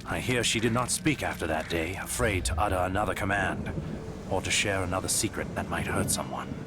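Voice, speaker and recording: A man's voice: deep voice, a little fast, noisy background, natural voice. An actor playing a sombre, guilt-ridden father narrating a fantasy game tale in a grave, regretful manner. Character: sombre, guilt-ridden father recounting his dark bargain.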